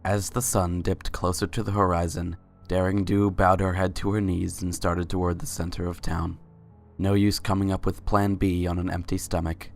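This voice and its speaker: Woman narrating a story, really deep voice, barely moves up or down. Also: level tone, moderate pace, faint music behind. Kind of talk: scripted story narration, dramatic reading.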